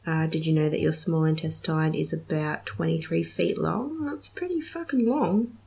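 A woman's voice: low-pitched, normal speed, vocal fry, darkish tone, breathy edge. A young woman hosting a casual solo podcast, chatty and giggly with many ums, sounding slightly nasal from a cold. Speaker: podcast host.

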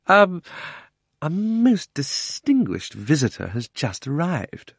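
A man voicing a shifty, cowardly older gentleman in a storybook, wheedling and stammering. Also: a little high, wildly swooping pitch, slow, breathy edge, no room sound, clean studio sound, voice pushed up, full character voice. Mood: nervous stammering.

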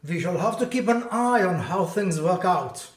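A man's voice: sits high, animated, moderate pace. A man playing a haughty great imperial power in a radio play, speaking in a formal, disapproving way. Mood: haughty, guarded. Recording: roomy, echoing recording.

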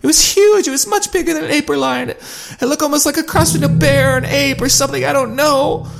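A man's voice: extremely high pitch, fast, vocal fry, fairly bright tone, soft background music, voice pushed up, heavily acted. Man doing a sobbing, panicked young woman. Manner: panicked, breaking voice.

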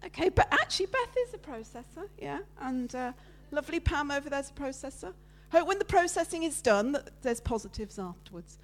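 A woman giving a lively, loud, chatty sermon with slides, talking with her congregation. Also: high-pitched, fairly quick. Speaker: preacher giving a talk at church. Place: church meeting room with listeners present.

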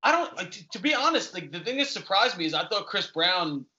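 Bright-voiced man. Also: high voice, big pitch swings, a little fast, lo-fi sound. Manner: loose, profane, rambling. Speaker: podcast host. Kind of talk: casual interview chat.

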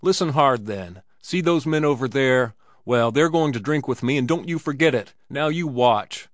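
A man voicing a burly, boastful, drunken saloon bully in an audiobook, loud and insistent. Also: mid-range voice, lively intonation, unhurried, dry recording, clean studio sound, natural voice. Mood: belligerent. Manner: loud, insistent, blustering exclamations. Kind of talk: dramatized audiobook character.

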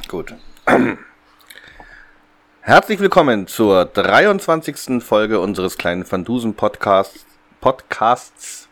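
Low-voiced man hosting a casual podcast. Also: expressive, takes it slowly, pauses often, a bit bright. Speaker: podcast host. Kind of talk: casual unscripted podcast chat.

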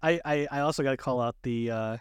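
A man hosting a casual movie review podcast, talking in a halting, repetitive way. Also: mid-range voice, smooth, no echo, studio quality. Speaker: podcast host.

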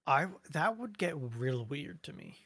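A man's voice: a little high, very animated, unhurried, a bit bright, husky, dry recording, clean studio sound. A man co-hosting a podcast, chatting casually, rambling and trailing off mid-sentence. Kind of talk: casual chat. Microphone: studio mic.

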